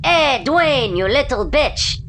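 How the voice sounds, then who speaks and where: A woman's voice: sits high, animated, takes it slowly, a bit bright, a little hiss or hum, heavily acted. A woman playing a vengeful, foul-mouthed male crime boss in an amateur sci-fi comedy radio play. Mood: angry, menacing. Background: music.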